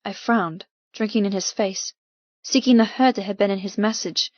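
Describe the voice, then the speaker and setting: A woman's voice: mid-range voice, normal speed, darkish tone, no echo, highs cut off. A woman narrating a first-person novel as an audiobook, with a dramatic, intense delivery.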